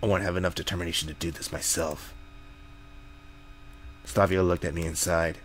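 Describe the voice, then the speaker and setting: A man's voice: sits low, steady tone, normal speed, slight background noise. A man reading a visual novel aloud in a jokey way, with casual asides and the odd slip. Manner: jokey, slips and corrects himself while reading. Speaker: gaming video host. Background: background music.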